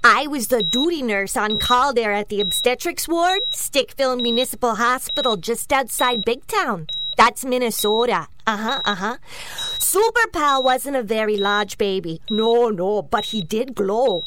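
A woman's voice: on the high side, expressive, unhurried, background noise. A woman playing a chatty, homely small-town nurse in a comedic audio drama mock interview, dropping little agreeing murmurs. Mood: fond, reminiscing. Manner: homely, punctuated with agreeing uh-huhs.

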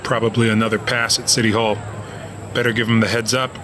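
An actor playing a cynical, stern police officer in an audio drama, dry and skeptical. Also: male voice, on the low side, little pitch movement, brisk, natural voice. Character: cynical, stern male police officer, self-styled realist. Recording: crowd noise behind. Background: crowd.

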